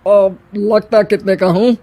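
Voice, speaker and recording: A man's voice: high voice, fairly even intonation, slow, vocal fry, dark tone, full character voice. A male actor playing a flirtatious, vain and irritable retired husband in his fifties in a comedy family story, with theatrical exclamations. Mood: flirty, vain. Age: middle-aged.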